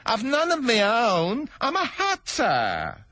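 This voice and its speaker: A man voicing a nervous, stammering male hatter who speaks in a slow, trembling voice. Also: very high voice, huge pitch swings, bright, forceful tone, no room sound, pitched above the performer's own voice, full character voice.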